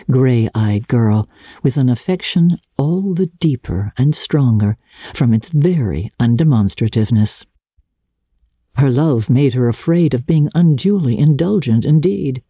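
A woman reading classic fiction as an audiobook narrator, in a measured, expressive and dramatic storytelling manner. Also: very deep voice, slightly rough, no room sound, clean studio sound.

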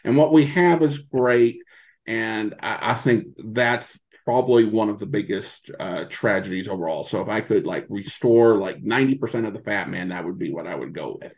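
An American man answering as a podcast guest, conversational and halting as he thinks aloud, on dull lo-fi audio. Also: lowish voice. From United States, rural Montana in early childhood. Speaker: podcast guest, old-time radio podcaster.

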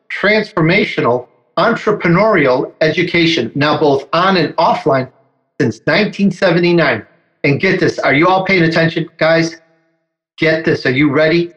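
A man's voice: a little high, fairly bright tone, rough recording. A man hosting his interview show, emphatic and eager as he builds up his guest. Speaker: podcast host.

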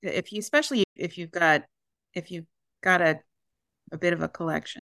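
A woman with a slightly low voice talks as a podcast guest, musing aloud and circling back on her sentences. The recording is close and dry. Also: moderate pace, creaky, bright, forceful tone, no room sound. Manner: chatty, musing, trails off and restarts. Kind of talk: casual chat.

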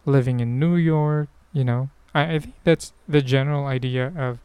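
Smooth-voiced, hesitant Filipino man podcasting. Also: medium pitch, fairly even intonation, normal speed, a little mellow, dry recording. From Philippines. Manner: hesitant, rambling, thinking aloud. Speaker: podcast host. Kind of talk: casual solo podcast, answering questions.

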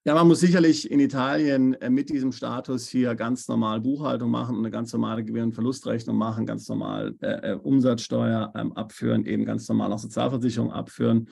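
Gravelly, husky German-speaking man explaining. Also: mid-range voice, a little fast, hardly any pauses. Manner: steady explainer, tag questions, many fillers. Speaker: tax advisor. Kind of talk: expert podcast interview answers. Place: based in Italy.

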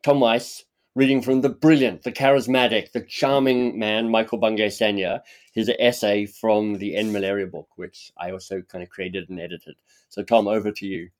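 A man hosting a podcast, talking in a relaxed, chatty, self-deprecating way. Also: lowish voice, normal speed. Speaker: podcast host. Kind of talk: casual conversation.

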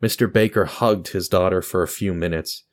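A man's voice: sits low, moderate pace. A man reading scripted, dramatic story narration as the narrator and host of an audio drama. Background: music.

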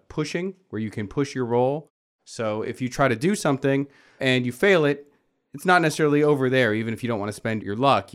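A man's voice: medium pitch, smooth. Casual man explaining game rules. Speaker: game master of a tabletop horror podcast.

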